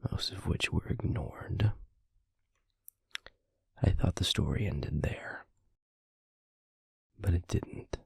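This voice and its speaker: A man playing a stern, guarded werewolf pack alpha in an audio roleplay, speaking formally and deliberately in a gravelly, husky voice. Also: deep voice, darkish tone, no echo, full character voice. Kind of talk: scripted audio roleplay acting.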